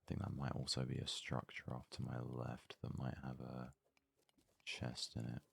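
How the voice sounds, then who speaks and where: A man giving casual ASMR let's play commentary in a very soft, hushed, breathy voice, murmuring his thoughts aloud without hurry. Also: extremely low pitch, monotone, dry recording. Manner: unhurried, murmured thinking aloud. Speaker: gaming video host.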